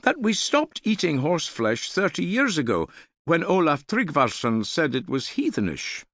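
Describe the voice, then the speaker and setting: A man's voice: on the high side, wildly swooping pitch, moderate pace, fairly bright tone, no echo, voice pushed up, full character voice. A man voicing an eager, naive boy retainer in an audiobook, blurting out his words with some hesitation. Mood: eager, embarrassed. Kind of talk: audiobook character dialogue.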